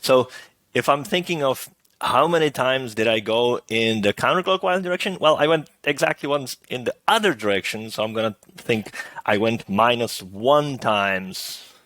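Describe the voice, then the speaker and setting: A middle-aged man with a slightly hoarse voice, giving a recorded math lecture over slides in a relaxed, thinking-aloud way, often checking in with "right?". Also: medium pitch, normal speed, dry recording. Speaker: math professor.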